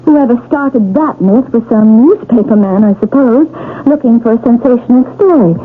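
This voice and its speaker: An actress playing a troubled wife in a 1970s radio mystery, with precise, theatrical, trancelike storytelling. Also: female voice, on the high side, animated, normal speed, phone-quality sound, natural voice. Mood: calmly insistent. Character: troubled wife, trancelike as she recounts a shipwreck. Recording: thin, band-limited old radio recording, noisy.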